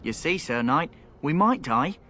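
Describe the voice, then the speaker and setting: A cheeky rogue in a fantasy game. He talks colloquially in a slightly high, very animated voice, with faint background ambience. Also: a little slow, slight background noise. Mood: casual, sly. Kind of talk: video game voice acting.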